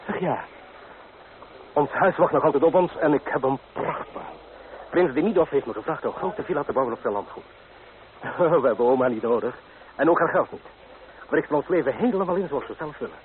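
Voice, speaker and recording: A man's voice: mid-range voice, close to an everyday voice. An actor in a radio drama playing an ardent, devoted young man in love, speaking romantically. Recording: tinny, noisy old radio recording.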